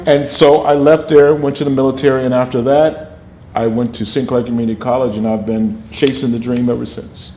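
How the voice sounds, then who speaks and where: An older man, an actor and drama teacher, telling animated stories from his life in an interview with a booming voice. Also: a little fast. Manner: animated storyteller, laughs often. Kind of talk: interview storytelling. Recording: roomy with faint noise.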